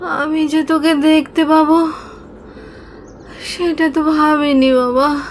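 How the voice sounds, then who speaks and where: A woman's voice: high voice, level tone, slow, slight background noise. A woman playing a frail, dying mother in a radio drama, speaking weakly and falteringly with tender sorrow. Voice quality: weak, faltering.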